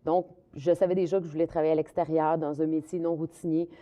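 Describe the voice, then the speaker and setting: A woman's voice: deep voice, level tone, quick pace, mellow. A middle-aged woman with a Québécois French accent, a community police officer explaining her career steadily, with many fillers and restarts. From Quebec, Canada. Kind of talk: interview answers.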